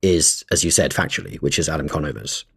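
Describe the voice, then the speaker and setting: A man co-hosting a podcast in casual chat, animated and stumbling over his words as he gets going. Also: extremely low pitch, quick pace, vocal fry, husky. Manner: animated, restarts often, stresses words. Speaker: podcast co-host.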